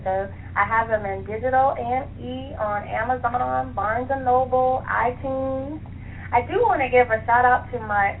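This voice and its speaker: Chatty American woman guest for a casual radio interview, highish creaky voice, thin telephone audio with faint noise. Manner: chatty, laughs often. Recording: tinny phone line with faint noise.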